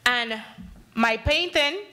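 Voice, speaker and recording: A woman's voice: fairly high pitch, takes it slowly, pushed and bright. A woman in her thirties from the Bay Islands of Honduras, with a Bay Islands English accent, giving an impassioned, emphatic talk at a conference podium and acting out conversations as she speaks. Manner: passionate, emphatic, gestures widely, acts out dialogue. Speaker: panel speaker, community activist. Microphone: podium microphone.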